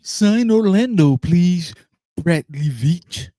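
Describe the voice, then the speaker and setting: A man's voice: high-pitched, animated, takes it slowly, mellow, dry recording, clean studio sound. An American man co-hosting a sports podcast in casual football banter, prodding his co-host with questions and challenges.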